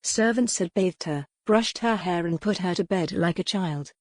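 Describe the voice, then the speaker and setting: A woman's voice: fairly low pitch, normal speed, dry recording. A woman reading scripted narration for a historical romance audiobook.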